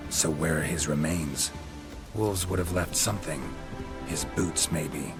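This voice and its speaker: A man playing a gruff, laconic monster hunter in a video game, terse and deadpan with a low gravelly voice. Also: a little slow, over music. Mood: dry, businesslike.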